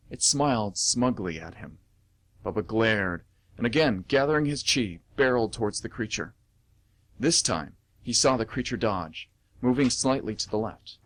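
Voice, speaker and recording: A man reading dramatic story narration as the narrator of an amateur radio play. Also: medium pitch.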